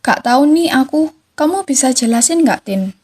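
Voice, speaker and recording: Indonesian teen girl hosting a school podcast, casual, highish voice. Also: expressive, moderate pace, a little mellow, slight background noise. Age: teenager. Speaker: student podcast host.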